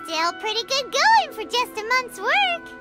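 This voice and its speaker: A tiny fairy sidekick in a game, extremely high and squeaky. She sounds childlike, cheeky and blunt, with bouncy, animated intonation over loud music. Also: measured pace, full character voice. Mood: curious, blunt. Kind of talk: video game voice acting.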